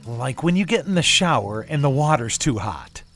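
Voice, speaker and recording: An actor playing a laid-back, self-assured DJ with deadpan delivery in casual audio drama banter. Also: male voice, mid-range voice, normal speed, slight background noise. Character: laid-back deadpan DJ explaining absurd theories.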